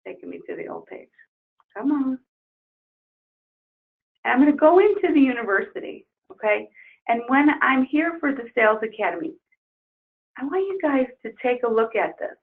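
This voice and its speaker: A woman trainer losing her voice, leading an upbeat software webinar walkthrough. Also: mid-range voice, gravelly. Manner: upbeat, enthusiastic promoting. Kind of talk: webinar screen-share walkthrough. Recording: tinny phone-like webinar audio.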